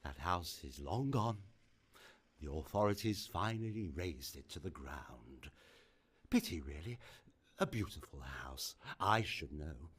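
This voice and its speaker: A man's voice: very deep voice, expressive, a little slow, a bit bright, slightly rough, heavily acted. A man playing a sinister, gloating old villain in a radio horror drama, theatrical and mock-friendly, lingering over his words. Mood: creepy, gloating.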